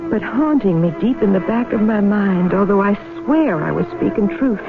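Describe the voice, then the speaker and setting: An actress playing a devoted young farm wife with a guarded, fierce streak in a 1970s radio drama. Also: female voice, medium pitch, expressive, normal speed, lightly acted. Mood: haunted. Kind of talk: 1970s radio drama acting and first-person narration. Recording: tinny band-limited 1970s radio broadcast, music.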